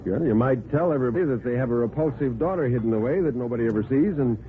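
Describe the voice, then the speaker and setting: A man's voice: medium pitch, lively intonation, racing, a little hiss or hum, lightly acted. An American man playing a tipsy, smug society bachelor who talks in a mock-casual, hesitant drawl in a 1950s radio play. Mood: playful boasting. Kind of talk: 1950s radio drama acting. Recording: vintage radio recording, phone-like band, music behind.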